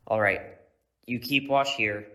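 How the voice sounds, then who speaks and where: Bright, steady superhero mentor, played by a man. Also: medium pitch, a little slow. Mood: calm, instructing. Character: steady male superhero mentor, protective, in command. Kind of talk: audio drama acting.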